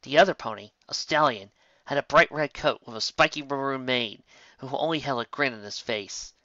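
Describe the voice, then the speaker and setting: A man's voice: mid-range voice, moderate pace, pushed and bright, dry recording. A man reading scripted fiction as a story narrator. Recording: slightly dull, close dry recording.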